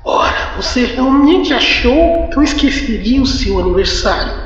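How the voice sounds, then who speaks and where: A man voicing an eerie caller whose voice sounds neither male nor female, hoarse and monotone, with mocking laughter. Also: very high voice, slow pace, lo-fi sound, heavily acted. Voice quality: hoarse, flat, singsong menace. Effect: distant echoing filter. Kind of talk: audio drama acting. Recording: echoey, reverberant, distant.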